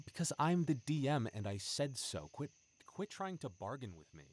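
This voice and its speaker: A man's voice: mid-range voice, very animated, normal speed, voice pushed up. A man playing a flustered, bashful young male game master in an intimate roleplay audio drama, stammering, sighing and mock-stern with his childhood friend. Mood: playful, bossy.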